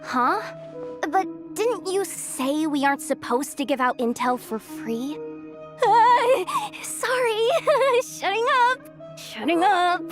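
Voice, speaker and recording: A woman playing an excitable, playful young girl who blurts things out in a video game. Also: very high voice, slow pace, faint music behind, exaggerated voice. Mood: sheepish. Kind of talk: video game character acting.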